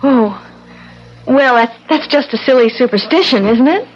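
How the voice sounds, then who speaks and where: An actress playing a nervous, eager young wife, excitable and polite, in an old-time radio drama. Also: female voice, high voice, normal speed. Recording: muffled, noisy old radio recording.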